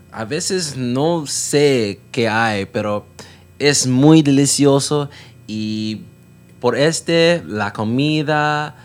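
A man with English as his first language talks slowly and unhurriedly in a second language. He pauses often, fills gaps with "am" and "ah", and corrects his own grammar as he goes. His pitch sits in an ordinary middle range. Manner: halting learner's Spanish with many fillers and self-corrections. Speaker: podcast guest. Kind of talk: casual chat.

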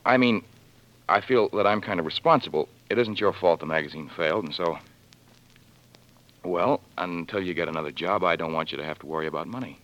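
Deep, earnest, awkward gentleman suitor. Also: a little fast. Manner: hesitant, earnest. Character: awkward, well-meaning young gentleman suitor, protective. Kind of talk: 1940s radio drama acting. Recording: muffled old 1940s radio broadcast.

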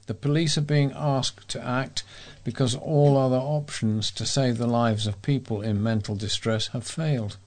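A man's voice: medium pitch, steady tone, normal speed. A man reading local news items aloud as a volunteer newsreader. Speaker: volunteer newsreader for a talking newspaper.

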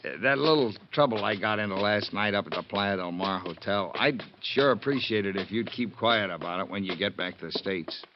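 A man's voice: lowish voice, a little fast, few pauses, background noise, natural voice. An actor playing a folksy, overfriendly traveling salesman in a 1950s radio drama, chummy and blustering. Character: chummy, pestering male traveling zipper salesman. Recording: muffled 1950s radio recording.

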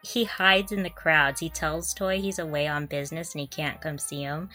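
A woman's voice: low voice, fairly even intonation, moderate pace, bright, forceful tone, faint music behind. A woman co-hosting a podcast in casual fan chat, gushing and giggly as she talks. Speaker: podcast co-host.

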